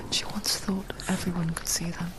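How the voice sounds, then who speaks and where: An actress voicing a confiding, intimate narrator in a video game, deliberate and breathy. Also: female voice, lowish voice, level tone, whispered, lightly acted. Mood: hushed, somber. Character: hushed, intimate female narrator voice in a troubled mind. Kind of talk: whispered dramatic narration.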